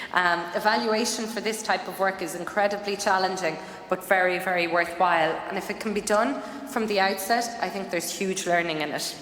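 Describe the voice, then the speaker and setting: A woman's voice: medium pitch, a bit bright. A woman giving an earnest conference presentation, with frequent fillers. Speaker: conference speaker. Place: conference hall. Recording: echoey hall, faint noise.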